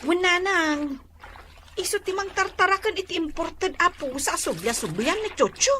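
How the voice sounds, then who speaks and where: An actress in a radio drama playing a cheerful, chatty young daughter with an Ilocano accent. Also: female voice, extremely high pitch, animated, normal speed, pushed and bright, a little hiss or hum, heavily acted. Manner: giggly, chatty.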